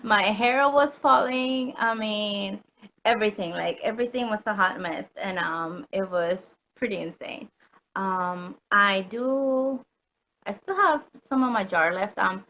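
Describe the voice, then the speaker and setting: A woman's voice: medium pitch, a bit bright, lo-fi sound. A woman from Puerto Rico chatting as an interview guest, full of ums and likes, laughing easily. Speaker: customer guest. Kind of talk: casual interview chat.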